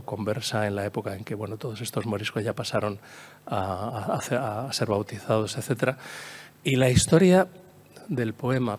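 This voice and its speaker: A man from La Mancha with a Castilian Spanish accent giving a discursive literary lecture, repeating phrases and adding storytelling asides and little tag questions. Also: fairly low pitch. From La Mancha, Spain. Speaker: conference speaker, poet.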